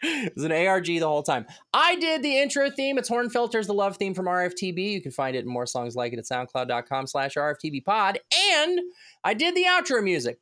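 A woman in her thirties hosting a casual chat show, her voice swooping up and down a lot as she jokes around. She sounds playful and giggly, with a sing-song lilt that stays at a middle pitch. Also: fairly bright tone. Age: 30s. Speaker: podcast co-host.

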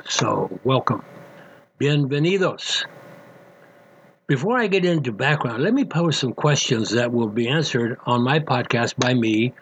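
Older man, from Mexico, podcast host, measured rhetorical questions, middle pitch, light background noise. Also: poor audio. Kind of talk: scripted podcast monologue.

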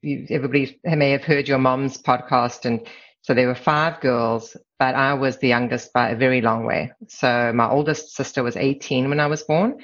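The recording is a little dull and lo-fi. A middle-aged woman with a South African accent speaks as an interview guest. She is candid and measured as she reflects on personal matters. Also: extremely low pitch, fairly even intonation. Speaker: podcast guest, lawyer. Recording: slightly dull, lo-fi sound.

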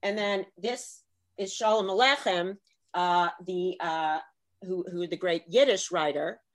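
A middle-aged woman, an author, talking in a lively, chatty way and slipping into bits of Italian. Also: fairly low pitch, measured pace. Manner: animated, chatty, slips into Italian phrases. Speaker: author guest. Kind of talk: casual video chat.

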